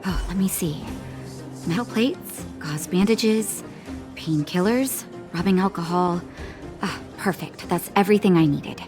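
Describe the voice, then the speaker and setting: A caring adult woman medic from a video game, played by an actress, with a slightly low voice and clear background music. She speaks in a composed, thoughtful way with a gently teasing edge. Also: measured pace, close to an everyday voice. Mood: pleased, thoughtful.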